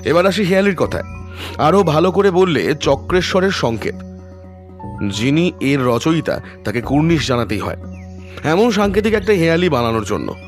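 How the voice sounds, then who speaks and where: A man playing a calm, self-assured detective in an audio story, explaining things deliberately and without fluster. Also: fairly high pitch, soft background music. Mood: composed, explaining.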